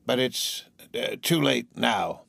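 A man voicing an excitable, sentimental old miser in a storybook, exclaiming and stammering between laughter and tears. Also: medium pitch, slow pace, creaky, dry recording, exaggerated voice. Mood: pitying, regretful. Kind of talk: dramatised storybook reading.

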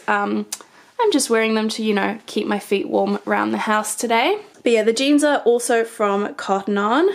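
A woman in her twenties with a New Zealand accent, chatting casually in an outfit vlog, her voice hoarse and congested from a cold. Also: fairly high pitch. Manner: chatty, relaxed, upbeat. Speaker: fashion vlogger and teacher. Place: bedroom with a mirror, indoors. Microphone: camera's built-in mic, filmed in the mirror.

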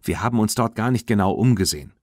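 A man voicing a bright, hopeful young girl who loves horses in a children's audiobook, lively and thoughtful. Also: fairly low pitch, quick pace, dry recording, clean studio sound. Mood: keen.

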